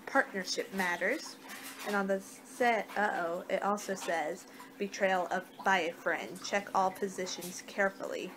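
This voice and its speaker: A young woman doing an ASMR card-reading role-play with a halting, unhurried delivery. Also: medium pitch. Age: young adult. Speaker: ASMR performer in a fortune-teller role-play. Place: small home room, indoors. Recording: noisy amateur webcam-style room recording.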